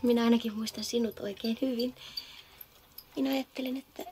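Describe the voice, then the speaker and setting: A woman playing a friendly, gentle elf in a children's fantasy film, speaking in an earnest, coaxing way. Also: a little high, steady tone, lightly acted.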